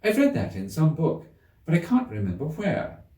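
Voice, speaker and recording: A man's voice: a little high, wildly swooping pitch, moderate pace, dark tone, voice pushed up. A man voicing an earnest, prim young girl in a storybook, with a plaintive, complaining manner. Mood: polite, prim. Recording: roomy echo, distant mic.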